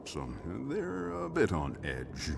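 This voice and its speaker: A man's voice: low-pitched, wildly swooping pitch, slow pace, vocal fry, noisy background, heavily acted. An actor playing a genial, world-weary small-town male barkeeper in a video game drama, folksy, easygoing and wry. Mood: helpful, guarded.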